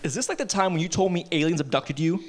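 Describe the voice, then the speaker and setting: A man's voice: fairly high pitch, lively intonation, fast, a little hiss or hum, close to an everyday voice. A man reading the part of a dismissive, bossy teenage older brother at a live table read. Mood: smug.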